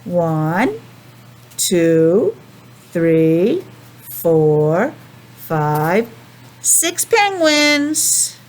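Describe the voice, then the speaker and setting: Animated woman reading to young children. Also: fairly low pitch, extremely slow, pauses often, a little hiss or hum. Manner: animated, addressing small children with simple questions. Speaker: teacher reading a picture book to young children. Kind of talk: storybook read-aloud with chatty asides and questions.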